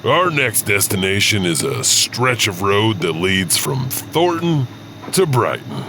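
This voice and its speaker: Deep-voiced man narrating ghost stories. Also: big pitch swings, slow pace, noisy background. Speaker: narrator and host. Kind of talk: scripted ghost story narration. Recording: music behind the voice. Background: music.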